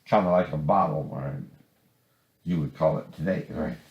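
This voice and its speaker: A man's voice: very deep voice, moderate pace, muffled recording. A man giving rambling, informal commentary in a bitter, profane, confrontational manner. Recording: echoey, roomy sound.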